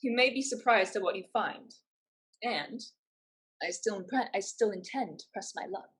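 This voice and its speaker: A woman's voice: medium pitch, moderate pace, fairly bright tone, lightly acted. A woman playing a bold, headstrong, defiant young survivor in a staged play reading, theatrical and emphatic. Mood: persuasive.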